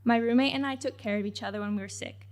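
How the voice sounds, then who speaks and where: A woman's voice: on the high side, fast talker. A teenage girl giving a prepared graduation speech, reading in a reflective, earnest way. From Mexican-American family in California. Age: late teens. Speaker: graduating student speaker.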